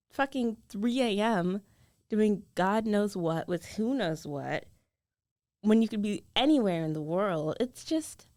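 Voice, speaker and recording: A woman's voice: mid-range voice, a little mellow, no echo, studio quality. A woman acting a jealous, defensive young roommate who is secretly in love, in an emotional, conversational ASMR roleplay. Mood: worried, scolding. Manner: emotional, conversational, pleading and protesting. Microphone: close studio microphone, dry.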